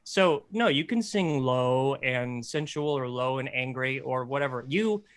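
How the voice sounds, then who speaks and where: A man with a high speaking voice, casually coaching others on singing in a group chat. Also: measured pace. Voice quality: self-described high voice. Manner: animated, explains with sung examples. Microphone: close mic.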